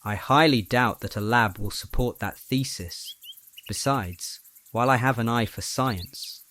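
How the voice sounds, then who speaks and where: A man acts out a bookish young male roommate for an audio drama. He speaks in measured, slightly formal sentences at a middling pitch. He is friendly and gently teasing. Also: a little hiss or hum. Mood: friendly, thoughtful.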